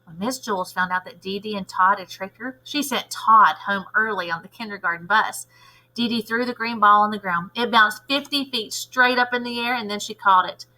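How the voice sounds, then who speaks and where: A woman's voice: mid-range voice, normal speed, bright, forceful tone, rough recording. A woman reading a children's storybook aloud as a teacher would.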